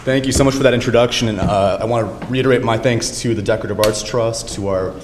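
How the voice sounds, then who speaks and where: A young man giving an academic lecture in a friendly, measured way, with occasional fillers. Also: lowish voice. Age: young adult. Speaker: lecturer, doctoral candidate. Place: lecture hall. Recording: lecture hall reverb, faint noise.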